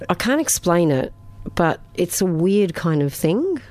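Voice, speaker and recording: A woman co-hosting a radio show, chatting casually with quick laughs and restarts, who grew up speaking Greek at home. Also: lowish voice, big pitch swings, darkish tone, no echo. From Australia. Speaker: radio co-host and interviewer.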